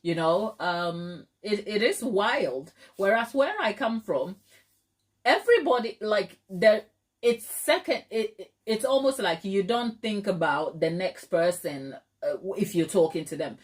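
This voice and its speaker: A woman's voice: medium pitch, lively intonation, unhurried. A middle-aged woman from Ghana hosting a live stream, chatting casually with viewers, laughing often and using plenty of fillers. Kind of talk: casual chat reading viewer comments.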